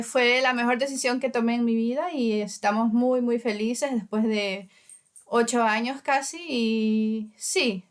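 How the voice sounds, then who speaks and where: Woman from Ecuador, thirties, interview guest, hesitant, many fillers, clear resonant voice. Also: a little high. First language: Spanish. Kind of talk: casual interview.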